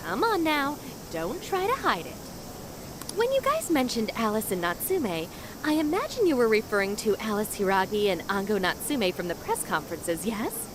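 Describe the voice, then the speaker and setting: A woman playing a bubbly, eccentric adult AI researcher in an animated game dub, breezy, chatty and enthusiastic. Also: medium pitch, normal speed, noisy background, natural voice. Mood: breezy, curious. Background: music.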